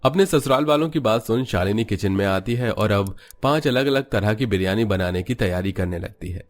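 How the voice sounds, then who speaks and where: A man's voice: lowish voice, fairly quick. A man narrating a Hindi cartoon story in a scripted storytelling style.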